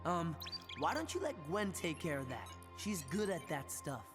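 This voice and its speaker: A cocky teenage tough guy in a game cutscene, with a gruff, offhand and sardonic way of talking. Clear music plays behind him. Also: fairly high pitch, very animated, normal speed. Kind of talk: video game cutscene acting.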